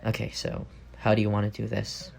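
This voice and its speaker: Male actor as tender, playful roommate secretly in love, intimate ASMR roleplay; low register with plenty of fry. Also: completely flat delivery, brisk. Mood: tender, drowsy. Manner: playful, gently teasing, tender. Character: affectionate, teasing young roommate quietly in love.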